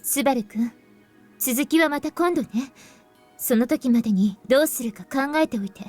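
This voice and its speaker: A woman playing a calm, composed high-school girl in an anime visual novel, speaking in a measured, matter-of-fact way. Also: soft background music. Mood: calm, serious. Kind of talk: Japanese visual novel voice acting.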